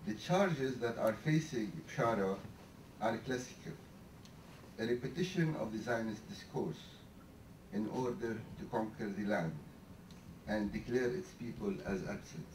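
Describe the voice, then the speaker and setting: A man with a low voice delivers a measured, formal talk as a panelist. He sounds far from the microphone. The hall rings with echo and a clear hiss runs beneath him. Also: a little mellow. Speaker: panel speaker, writer. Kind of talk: formal speech to an audience. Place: lecture hall. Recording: distant mic in an echoey hall with noticeable hiss.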